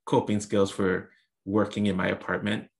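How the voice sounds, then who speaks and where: A man's voice: deep voice, flat delivery, normal speed, vocal fry. A young adult man from the US Virgin Islands, answering interview questions thoughtfully with many fillers and restarts. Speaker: author guest.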